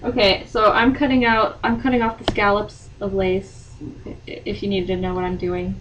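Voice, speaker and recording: A woman's voice: mid-range voice, level tone, moderate pace, a bit bright. A woman hosting a podcast in casual chat, making wry, teasing remarks. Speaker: podcast host. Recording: distant mic, faint background noise.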